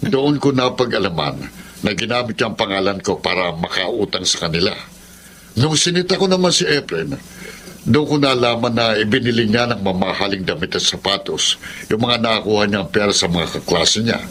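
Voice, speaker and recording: A man's voice: fairly low pitch, lively intonation, normal speed, fairly bright tone. A man acting in a Filipino radio drama as an earnest, protective older father, speaking emphatically. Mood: grave, confiding. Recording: light background noise.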